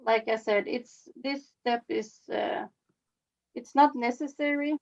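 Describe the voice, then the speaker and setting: A woman from Sweden with a Swedish accent, giving an informal demonstration as a face painting instructor, with halting phrasing. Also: fairly high pitch, creaky. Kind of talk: informal live demonstration with commentary. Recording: slightly dull, lo-fi webinar audio.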